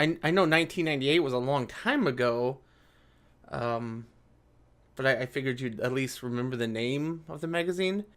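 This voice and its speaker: A man's voice: medium pitch, fairly bright tone. A man hosting a casual commentary video, reading aloud and adding sarcastic, argumentative remarks.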